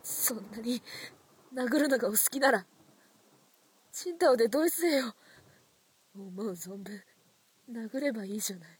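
High, breathy, battered young woman. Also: normal speed, pauses often. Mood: quietly defiant. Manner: polite, pleading, fragile. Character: frightened, battered yet defiant young woman. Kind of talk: radio drama acting.

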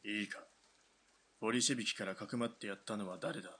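A man's voice: lowish voice, quick pace, pushed and bright, breathy edge. A man playing a stern Japanese army lieutenant colonel in a radio drama, curt and commanding. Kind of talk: hobby radio drama acting.

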